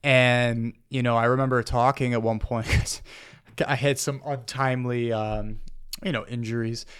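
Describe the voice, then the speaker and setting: A man's voice: mid-range voice, little pitch movement, measured pace, a bit bright, clear, resonant tone. A man hosting a casual podcast conversation, rambling with lots of fillers and restarts. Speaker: podcast host.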